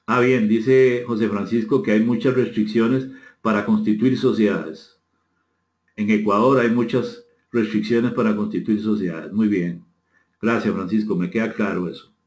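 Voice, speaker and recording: A man's voice: fairly low pitch, steady tone, normal speed, darkish tone. A man with a Costa Rican accent leading an online seminar, courteously inviting participation and repeating phrases as he reads out and answers comments. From Costa Rica. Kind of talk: interactive webinar talk, reading out chat comments. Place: indoor room, online session. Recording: echoey room, muffled dull audio.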